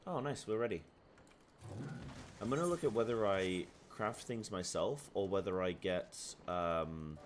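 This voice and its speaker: A man streaming from his apartment, chatting casually, thinking aloud and reading chat aloud. He has a deep, carrying voice and talks loudly by habit. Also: a little hiss or hum. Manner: loud by habit. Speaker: live streamer. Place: apartment room at home.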